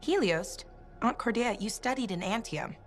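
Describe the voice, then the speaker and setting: An actress playing an eager young niece who gently corrects her aunt. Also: a little high, expressive, moderate pace, close to an everyday voice. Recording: game audio with long reverb and music.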